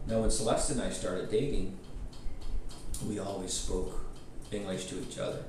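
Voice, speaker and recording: A middle-aged man whose first language is Pennsylvania Dutch, calmly recounting his life in an interview, pausing often as he thinks. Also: sits low, slight background noise. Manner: slow, thoughtful, pausing often. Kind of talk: reflective personal account. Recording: very echoey room, distant mic.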